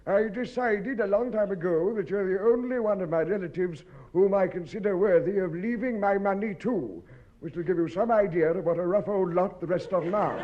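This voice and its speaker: Casting: male actor as fussy, high-pitched solicitor, formal and sorrowful, vintage radio comedy with dull sound. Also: noisy background, natural voice. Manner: formal, measured, mournful. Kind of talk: 1950s British radio sitcom acting. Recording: dull, muffled old radio recording.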